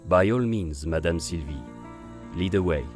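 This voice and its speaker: A man playing a suave, silver-tongued gentleman con artist with a flaming head in an audio drama, courtly and sprinkling in French phrases. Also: sits low, normal speed, faint music behind, natural voice. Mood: courteous, charming.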